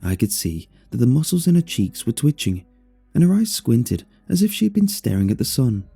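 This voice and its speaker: A man narrating a scripted first-person horror story in a measured, expressive way over music. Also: mid-range voice, vocal fry, slightly rough. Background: faint music.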